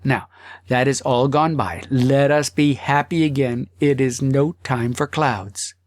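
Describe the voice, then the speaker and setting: A man voicing a devoted, gently reproachful wife in an audiobook, speaking earnestly and with feeling. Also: mid-range voice, no echo, clean studio sound, natural voice. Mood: troubled, pleading. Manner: earnest, emotional, deliberate. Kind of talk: audiobook character acting.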